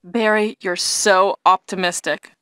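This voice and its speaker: An actress playing a brave young heroine in a video game, earnest and deliberate, with stilted delivery. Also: female voice, mid-range voice, steady tone, fairly bright tone, clean tone, no echo, natural voice. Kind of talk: video game cutscene acting.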